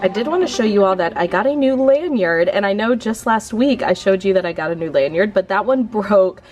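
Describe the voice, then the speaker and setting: A woman's voice: mid-range voice, expressive, fairly quick, darkish tone, faint music behind. A young woman who is a teacher, chatting upbeat to the camera in a casual vlog while she has a sore throat and is losing her voice. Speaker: elementary school teacher vlogger.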